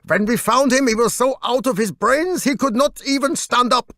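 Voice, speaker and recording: A man's voice: very high-pitched, normal speed, sharp tone, no echo, studio quality, voice pushed up, exaggerated voice. A man voicing a polite young plain-clothes policeman in an audiobook, courteous and insistent. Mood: earnest, insistent. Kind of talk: acted audiobook dialogue.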